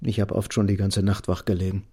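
A man's voice: sits low, little pitch movement, a little fast, warm tone, husky. An actor playing a disillusioned, timid middle-aged architect and father in a radio drama, speaking in a subdued, terse way. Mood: grave, confiding. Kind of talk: German radio drama acting.

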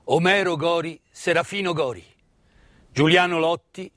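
A man delivers a theatrical monologue as a storyteller, solemn and emphatic, with deliberate pauses and repetitions. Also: on the high side, a bit bright, dry recording. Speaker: stage actor and storyteller.

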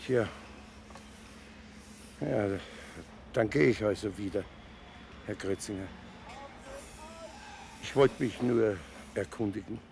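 A man's voice: lowish voice, takes it slowly, vocal fry, pauses often, a little mellow, slight background noise. An actor playing a humble, hesitant building foreman in a radio play, deferential and trailing off. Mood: hesitant, resigned. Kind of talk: naturalistic radio play acting.

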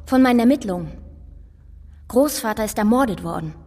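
A woman's voice: on the high side, lively intonation, brisk, a little mellow, in a large hall, faint music behind. A female performer playing a precocious, nosy little girl detective in a German radio crime drama, speaking bluntly and without sentiment. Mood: blunt, secretive. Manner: blunt, matter-of-fact, unsentimental.

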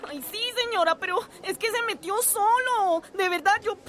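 An actress playing a timid, apologetic secretary in a radio drama, stammering and deferential. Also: female voice, extremely high pitch, moderate pace, a bit bright. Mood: flustered, apologetic. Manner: stammering, deferential, whiny excuses.

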